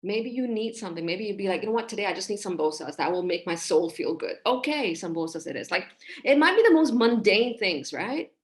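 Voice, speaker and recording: A woman in her thirties from Hong Kong, a relationship coach talking in a casual podcast interview over a video call, expressive and gesturing as she speaks. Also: medium pitch, a little fast, few pauses. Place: small room indoors.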